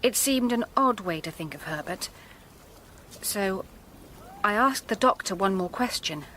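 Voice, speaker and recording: A woman's voice: medium pitch, lively intonation, a little slow, fairly bright tone, slight background noise. An actress playing a determined, grave young woman in a radio drama, composed and probing. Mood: accusing.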